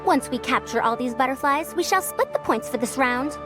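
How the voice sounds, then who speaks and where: Haughty video game scholar, high voice, lecturing old-fashioned phrasing, very animated, music behind. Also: female voice, fairly quick. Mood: smug. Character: proud, youthful-sounding female scholar who talks like an elder.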